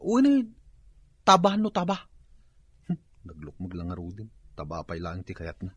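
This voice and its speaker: An actor playing a boastful but easily cowed husband with a raspy voice and an Ilocano accent in a comedy radio drama. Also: male voice, low voice, wildly swooping pitch, normal speed, a bit bright, no room sound, lo-fi sound, full character voice. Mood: flustered, muttering. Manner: blustering, stammering exclamations.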